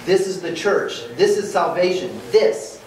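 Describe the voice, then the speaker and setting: Man reading as an impassioned holy rebel, animated and echoey. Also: high voice, unhurried. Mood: impassioned, defiant. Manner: declamatory, archaic phrasing. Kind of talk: dramatic reading of archaic verse. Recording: echoey conference room, distant, faint noise.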